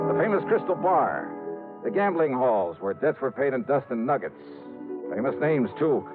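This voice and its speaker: On a thin old radio recording with music, an actor plays a hard-boiled male investigator. He is wry and colloquial, his medium-pitched voice moving up and down a lot in noir style. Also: narrow, tinny audio, lightly acted. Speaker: lead and narrator. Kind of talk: radio noir narration and dialogue, 1950s-60s acting.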